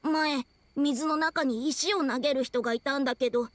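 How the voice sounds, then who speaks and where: Game role: bashful young male kappa played by a woman, high-pitched, hesitant, self-doubting. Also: normal speed, dry recording, natural voice. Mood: timid. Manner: meek, apologetic, hesitant. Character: timid young male kappa, small water creature.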